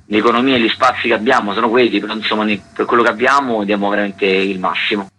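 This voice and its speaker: Low, bright-voiced man being interviewed. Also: fairly even intonation, quick pace, husky, low-quality recording. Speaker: theatre festival co-artistic director, interview guest. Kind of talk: conversational radio interview.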